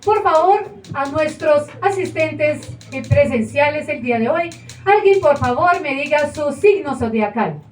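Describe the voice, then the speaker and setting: An actress playing a radio show presenter on stage, with a brisk announcer manner and wry asides. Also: female voice, sits high, animated. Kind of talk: stage acting of a radio broadcast. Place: small theater stage. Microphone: far camera. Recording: echoey room from far off, faint noise.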